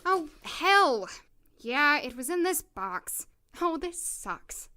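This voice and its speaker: A woman's voice: high-pitched, sing-song, slow pace, sharp tone, slight background noise, heavily acted. An actress playing a plucky young woman doctor in an audio drama, wry and determined in manner. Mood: determined, tense.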